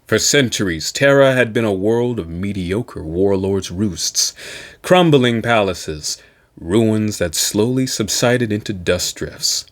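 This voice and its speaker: Expressive man narrating with sweeping intonation. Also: fairly low pitch, slow. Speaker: audiobook reader. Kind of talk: scripted narration of a science fiction novel.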